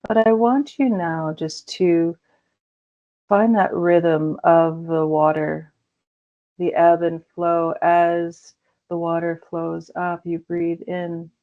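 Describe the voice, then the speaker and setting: Slow, gravelly-voiced woman guiding meditation. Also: lowish voice. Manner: slow, soothing, gentle pauses. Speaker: meditation guide. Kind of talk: guided meditation. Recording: dull lo-fi recording.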